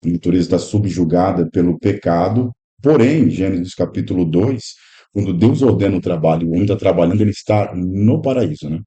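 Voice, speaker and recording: A man's voice: sits low, fairly quick, mellow. A man in his thirties, a pastor and teacher, explaining his ideas reflectively in a podcast conversation, with many "né?" tags. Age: 30s. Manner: reflective, explanatory, speaks in long run-on phrases with frequent "né?". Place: improvised room at a pastors' convention.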